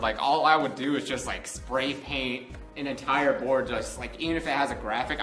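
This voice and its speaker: Bright-voiced young American man telling stories casually. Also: mid-range voice. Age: 20s. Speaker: vlog host. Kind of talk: casual unscripted storytelling.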